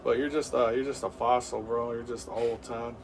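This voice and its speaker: A man's voice: medium pitch, fairly even intonation, normal speed, background noise. Man, thirties, game streamer, hyped play-by-play, lots of fillers, distant mic. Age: 30s. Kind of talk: live game stream commentary.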